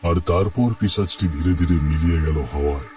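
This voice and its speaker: Low-voiced male horror story narrator. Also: fast talker, darkish tone. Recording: music under the voice.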